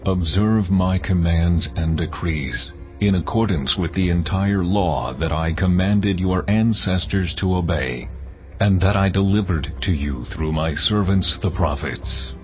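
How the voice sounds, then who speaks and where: A man voicing God, delivering commandments in slow, solemn decrees in a dramatized scripture reading. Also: low voice. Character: God speaking commandments, stern, solemn, majestic. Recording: dull lo-fi sound with music under it.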